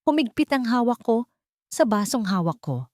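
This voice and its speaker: A Filipino woman reading a first-person drama story aloud in a measured, earnest way. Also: a little high, big pitch swings, a little mellow, no echo, studio quality. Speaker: audiobook narrator.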